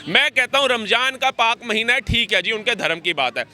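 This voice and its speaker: A man's voice: very high voice, expressive, fairly quick, pushed and bright. A man arguing combatively and emphatically in a street interview, firing rhetorical questions. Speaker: interviewee giving political opinions. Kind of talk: impassioned street interview argument.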